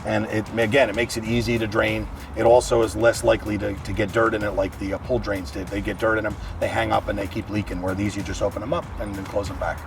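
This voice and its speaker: A man's voice: lowish voice, little pitch movement, fast, creaky, few pauses, distant mic, slight background noise. An American man, a fire chief, explaining equipment in a practical, plain-spoken way during a walk-through. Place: outdoors around a fire truck.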